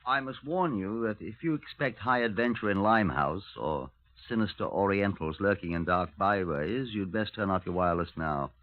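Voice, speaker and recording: Actor as a low-voiced, dry British police superintendent. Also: male voice, lightly acted. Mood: dry, composed. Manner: dry, measured, understated. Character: dry, matter-of-fact Scotland Yard police superintendent. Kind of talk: 1950s radio drama narration. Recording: noisy, muffled narrowband vintage radio recording.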